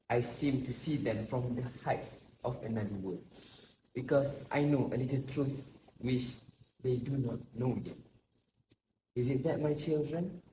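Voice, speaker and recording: A man playing a solemn, sorrowful grandfather in his eighties, speaking deliberately as in an amateur stage play. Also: mid-range voice, natural voice. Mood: grave, wondering. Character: sorrowful, grave old grandfather of nearly eighty-three. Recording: roomy echo with faint noise.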